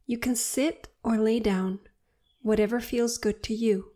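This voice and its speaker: A woman narrating a guided meditation in a soothing, unhurried and deliberate manner. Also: on the high side, fairly even intonation, mellow.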